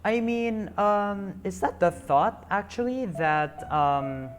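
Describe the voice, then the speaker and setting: A man streams a story game and talks over it casually, with slow, halting speech. He rambles and fills his pauses with ums and uhs. Faint music plays behind him. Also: high-pitched, lively intonation, clear, resonant tone. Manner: rambling, hesitant, many fillers.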